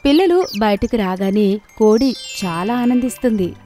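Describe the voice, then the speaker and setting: Woman, storybook narrator, slightly high voice, gentle steady telling, faint music under it. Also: moderate pace.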